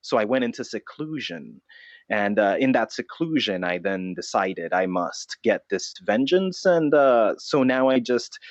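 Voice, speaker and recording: A man voicing a solemn, deluded vigilante notebook dressed as a bat in an improvised comedy interview, with a weird scary voice and halting, earnest delivery. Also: fairly low pitch, big pitch swings, no room sound, highs cut off. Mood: solemn, wounded. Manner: halting, earnest, self-serious.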